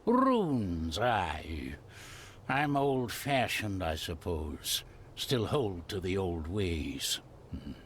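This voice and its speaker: An actor playing a gruff, old-fashioned, superstitious older Scottish gardener in a video game, blunt and guarded, speaking in dialect. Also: male voice, low voice, big pitch swings, very slow, a little hiss or hum, full character voice. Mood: gruff, defiant. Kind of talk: dramatic game cutscene dialogue.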